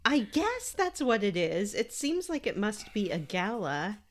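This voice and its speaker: A woman's voice: medium pitch, sing-song, unhurried. A woman co-hosting a casual podcast, chatting and reading out gaming news. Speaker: podcast co-host.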